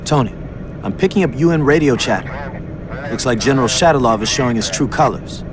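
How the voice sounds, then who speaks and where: A man's voice: medium pitch, expressive, a little fast, a crowd in the background, lightly acted. An actor playing a cautious, matter-of-fact aircraft crewman in a video game. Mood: cautious, alert.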